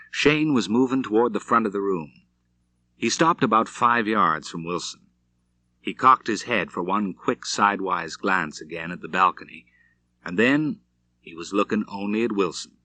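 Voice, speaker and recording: A man narrating a western novel as an audiobook, with measured, deliberate, dramatic delivery. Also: sits low, pushed and bright, no echo.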